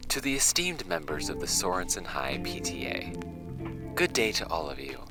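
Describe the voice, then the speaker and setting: A man reading a letter as an earnest, self-important high school girl, in a formal, overly polite manner. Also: huge pitch swings, moderate pace. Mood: prim, formal. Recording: music bed behind the voice.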